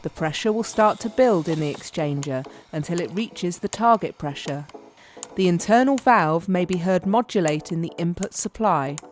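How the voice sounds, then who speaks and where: A woman reading a scripted technical training narration in a measured, step-by-step instructional way. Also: lowish voice, lively intonation.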